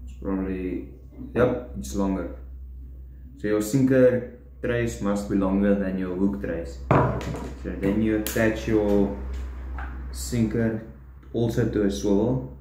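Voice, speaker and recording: A young man explaining step by step how to tie fishing tackle, in a halting, plain way while he works at a table indoors. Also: fairly low pitch, fairly even intonation, dark tone. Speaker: fishing tutorial host. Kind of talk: step-by-step demonstration. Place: indoors at a wooden table, echoey room. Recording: roomy echo, faint room noise.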